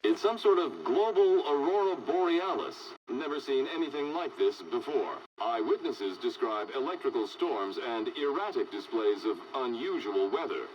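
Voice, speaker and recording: A man's voice: medium pitch, lively intonation, normal speed, hardly any pauses, lo-fi sound, slight background noise, natural voice. A man playing a composed news anchor in a video game, with a formal broadcast delivery. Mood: serious, measured. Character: composed male news anchor reporting a global disaster.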